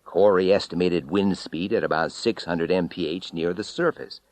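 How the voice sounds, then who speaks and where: A man narrating a first-person story as an audiobook reader, expressive and brooding, with dramatic pauses. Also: deep voice, no echo.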